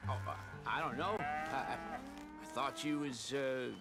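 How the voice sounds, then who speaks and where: An actor playing a friendly, chatty rural American ranch worker in a video game, deferential and a little hesitant, with folksy speech. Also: male voice, medium pitch, unhurried, lightly acted. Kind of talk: naturalistic video game acting. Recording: music behind the voice.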